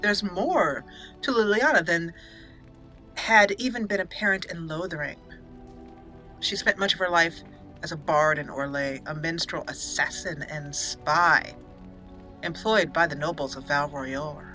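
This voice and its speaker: A woman's voice: sits low, measured pace, bright, forceful tone, soft background music, poor audio. A woman hosting a casual, chatty roleplay let's play, sometimes reading dialogue theatrically. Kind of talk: casual game commentary with roleplay.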